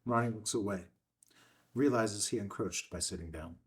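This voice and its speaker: A man's voice: on the low side, moderate pace. A man reading a film script's stage directions as narrator, in a matter-of-fact way.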